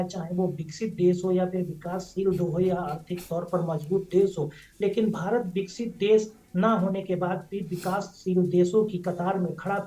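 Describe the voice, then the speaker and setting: A man reports live as a news correspondent, in a high-set but very mellow voice. He talks without a script, rolling through long-winded, repetitive sentences that run into one another. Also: low-quality recording.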